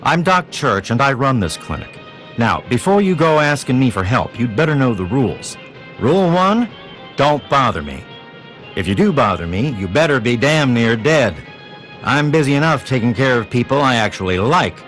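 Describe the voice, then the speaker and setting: An actor playing a gruff, grudging town doctor in a post-apocalyptic video game, curt and blunt. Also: male voice, medium pitch, very animated, normal speed, a bit bright. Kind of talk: video game character dialogue. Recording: music playing behind the voice.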